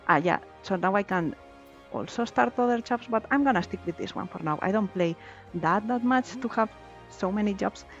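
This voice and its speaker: A woman's voice: mid-range voice, animated, normal speed. A woman whose first language is Valencian/Catalan, chatting casually as a streamer while playing a game. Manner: chatty, laughs easily, reads game text aloud. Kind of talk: casual chat while gaming. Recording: slightly dull lo-fi stream audio. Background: faint game music.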